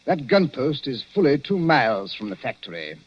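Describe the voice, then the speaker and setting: An actor playing a brusque, officious army colonel in 1940s radio drama style. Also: male voice, medium pitch, big pitch swings, moderate pace, breathy edge, dull audio. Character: stern older British army colonel.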